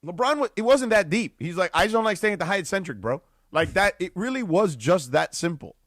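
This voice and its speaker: Brief: male radio co-host, animated swooping delivery, dry close mic, rambling explainer. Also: sits high, brisk, no room sound. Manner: rambling, explains at length, many restarts. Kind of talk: sports talk radio analysis. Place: radio studio. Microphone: studio microphone.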